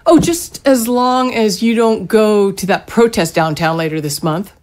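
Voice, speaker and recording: A woman playing a fussing, mildly exasperated middle-aged mother in a naturalistic audio drama, gently nagging. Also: medium pitch, a little slow, natural voice. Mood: concerned.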